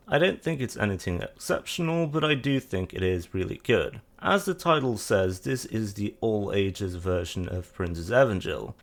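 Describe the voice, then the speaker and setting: A man narrating an informative video guide in a measured, matter-of-fact way as its host. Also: on the low side, vocal fry.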